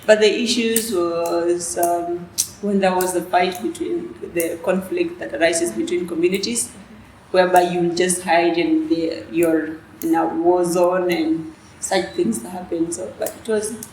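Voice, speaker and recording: Young woman from south sudan, native dinka speaker, lowish voice, hesitant, echoey room with faint hiss. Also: steady tone, unhurried. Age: young adult. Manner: soft-spoken, hesitant with many restarts. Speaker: student leader guest. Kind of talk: interview answers.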